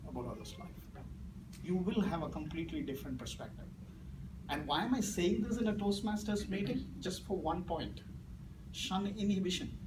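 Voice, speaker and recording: A middle-aged man with an Indian English accent, a native Malayalam speaker, tells stories to a club audience, moving between grave and playful. His voice sits fairly high. The recording is roomy and distant, with steady noise behind it. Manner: storytelling, swings from grave to playful. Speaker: guest speaker. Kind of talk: public speech at a club meeting. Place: meeting room with audience. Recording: echoey room from far off, noisy.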